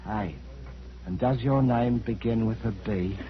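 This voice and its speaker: A man's voice: medium pitch, a little slow, close to an everyday voice. An actor playing a timid, anxious middle-aged salesman in a 1940s radio drama, hesitant, stammering and apologetic. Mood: kindly, tentative. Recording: muffled 1940s radio broadcast recording.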